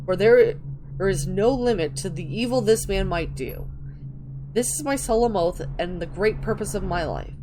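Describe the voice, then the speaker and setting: A woman's voice: medium pitch, expressive, normal speed, background noise. A woman hosting a gaming video, reading in-game texts aloud and commenting casually as she plays.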